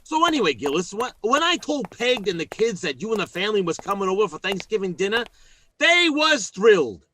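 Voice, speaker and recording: Folksy working-class radio sitcom dad, bright forceful high voice, sentimental, blustery, bad grammar. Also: male voice, big pitch swings, fairly quick, slight background noise, exaggerated voice. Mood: chatty. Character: good-hearted, blustery working-class family man and father. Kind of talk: old-time radio comedy acting.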